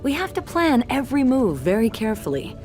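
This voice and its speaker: A woman playing a serious, steady resistance leader, giving earnest, controlled mission briefings in a video game. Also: on the high side, a little fast, soft background music. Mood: earnest, urgent.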